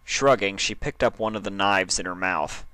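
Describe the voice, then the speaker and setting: Deep, crisp male story narrator. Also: normal speed, pushed and bright, dry recording. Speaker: fan fiction narrator.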